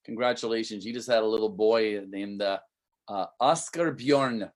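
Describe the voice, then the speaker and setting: A man's voice: fairly low pitch, moderate pace. A man hosting a casual livestream interview, keen and chatty, often repeating his words and calling his guest man. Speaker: livestream host.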